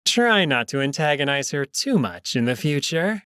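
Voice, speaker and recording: A man playing a shrewd, composed jackal schemer in a visual novel, speaking in a measured, formal, cautioning manner. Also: on the high side, very animated, fairly bright tone, clear, resonant tone, dry recording, clean studio sound. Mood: calm, cautioning. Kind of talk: visual novel character acting.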